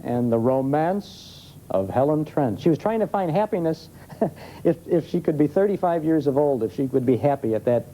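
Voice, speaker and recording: A man's voice: a little high, lively intonation, normal speed, warm tone. An American man speaking as an expert guest in a TV interview, explaining things conversationally. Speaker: guest, old-time radio expert. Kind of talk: live TV interview. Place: television studio with audience. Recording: noisy vintage TV broadcast.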